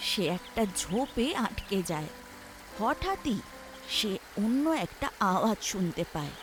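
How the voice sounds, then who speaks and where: A woman narrating a scripted children's story with an expressive storybook delivery. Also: on the high side.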